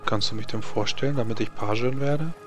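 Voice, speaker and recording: A man's voice: medium pitch, brisk, soft background music, lightly acted. A man voicing a stubborn, tired little girl in short, simple, insistent replies for a tabletop game. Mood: insistent, eager. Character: stubborn, tired little girl addicted to a drink, dreaming of knighthood.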